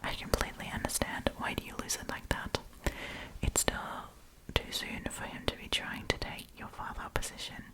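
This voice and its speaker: Whispering woman, chatty ASMR storyteller. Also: normal speed. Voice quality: breathy whisper. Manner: chatty, playful, slangy.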